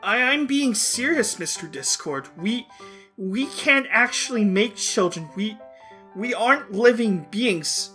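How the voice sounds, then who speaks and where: A man's voice: high-pitched, lively intonation, slow pace, sharp tone, faint music behind, heavily acted. A man voicing an awkward, polite young rag doll come to life, hesitant and stammering. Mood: embarrassed, earnest. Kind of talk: cartoon comic dub.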